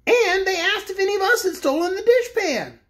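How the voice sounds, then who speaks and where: A woman doing a shrill, indignant toy bear corporal. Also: brisk. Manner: indignant, high and excited. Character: pompous male toy bear soldier.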